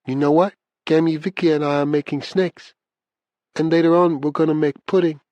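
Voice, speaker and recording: A man's voice: a little high, fairly even intonation, moderate pace, a little mellow, dry recording, lo-fi sound, voice pushed up, close to an everyday voice. A man voicing a shy little girl talking on the phone, in an audiobook story. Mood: shy, chatty.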